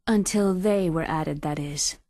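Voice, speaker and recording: An actress playing a confident military genetics scientist in a video game, explaining things in a clinical, assured manner. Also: female voice, sits low, a little slow, darkish tone, dry recording, studio quality. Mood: assured, serious. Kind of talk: dramatic video game cutscene acting.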